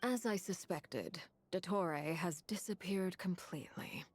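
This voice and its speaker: An actress playing a composed, serious woman with a measured, authoritative manner in a video game. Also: on the low side, close to an everyday voice. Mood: grave. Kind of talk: video game dialogue acting.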